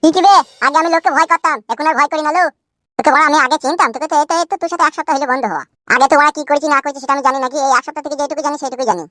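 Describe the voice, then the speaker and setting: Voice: high voice, rapid-fire, sharp tone, dry recording, studio quality. A performer voicing a cheeky, foul-mouthed village cartoon character with a rural West Bengal accent, arguing in brash slang. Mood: cheeky boasting. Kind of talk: comic cartoon dialogue.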